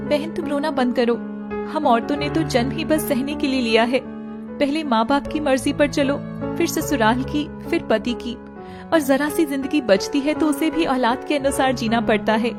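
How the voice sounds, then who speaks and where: A woman's voice: high-pitched, moderate pace, natural voice. A woman voicing a long-suffering, resolute young wife in a dramatic story. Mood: resigned consoling. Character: wronged young village wife, expectant, bitter yet long-suffering. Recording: music playing behind the voice.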